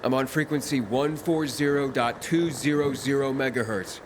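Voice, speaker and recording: An actor playing a determined young wandering survivor in a video game, speaking earnestly and insistently. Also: male voice, mid-range voice, moderate pace. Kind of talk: video game cutscene acting. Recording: noisy ambience behind the voice.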